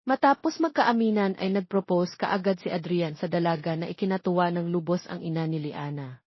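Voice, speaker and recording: A woman's voice: lowish voice, brisk, no echo. A woman narrating a dramatic story from a script as a voice-over. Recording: dull lo-fi audio, very dry.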